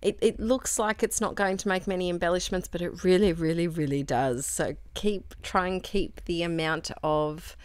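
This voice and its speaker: Chatty crafting woman, lowish dry voice. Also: normal speed, few pauses, clear, resonant tone, no room sound. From Australia. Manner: rambling, self-correcting, chatty. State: croaky voice. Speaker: crafting vlogger. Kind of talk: casual crafting chat.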